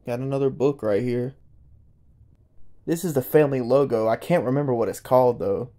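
Excited man exploring an old house. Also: mid-range voice, fairly quick. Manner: excited, marveling, chatty. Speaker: urban explorer vlogger. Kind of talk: casual walkthrough narration. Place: inside an abandoned old house.

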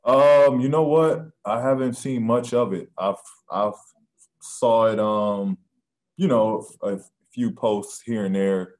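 Deep-voiced soft-spoken man, halting. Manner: soft-spoken, halting, many ums and restarts. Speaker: NBA player at postgame press conference. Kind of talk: postgame press conference answers. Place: press room with sponsor backdrop.

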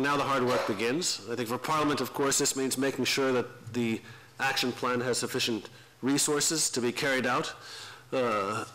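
A middle-aged man giving formal, unscripted remarks to a meeting in a measured, diplomatic way. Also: mid-range voice, bright, forceful tone, rough recording. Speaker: guest official speaker. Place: parliament committee room, indoors. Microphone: desk gooseneck microphone.

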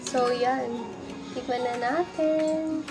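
A woman's voice: high voice, steady tone, drawn-out words, music in the background. A young woman hosting a casual cooking vlog, chatty, polite and playful, often giggling as she talks to the camera indoors. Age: young adult. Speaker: cooking vlogger. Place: dark indoor room, seated at a table.

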